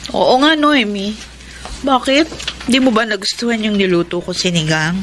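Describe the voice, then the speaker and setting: A woman's voice: medium pitch, normal speed, a bit bright, a little hiss or hum, natural voice. An actress playing a put-upon, mild, defensive woman in a Filipino radio soap, explaining herself with a Filipino accent. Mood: hurt, defensive.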